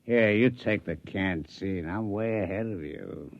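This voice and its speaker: An actor playing a reluctant, skeptical sidekick with a wry, droll manner in a 1950s radio comedy. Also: male voice, lowish voice, a little slow, dry recording. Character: reluctant, easily spooked sidekick collector who would rather go drinking. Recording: dull, dry vintage radio recording.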